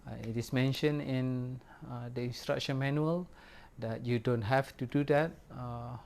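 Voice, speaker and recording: A man whose first language is Malay, giving a casual, unhurried product review in English with many pauses and fillers. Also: medium pitch, steady tone, vocal fry, darkish tone. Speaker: record store owner reviewing gear.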